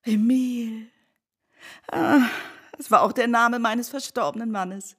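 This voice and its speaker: An actress playing a stout, coquettish French widow who keeps a shop, coy and French-accented, in a radio play. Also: female voice, a little high, takes it slowly, fairly bright tone, husky, exaggerated voice. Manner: coy, mixing in French phrases.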